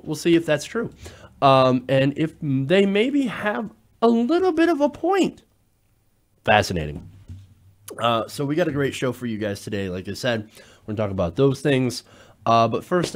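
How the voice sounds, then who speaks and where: A man hosting a casual political talk show, sounding nasal while getting over a cold, chatty and loose, with many filler sounds. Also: a little high. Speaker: show host.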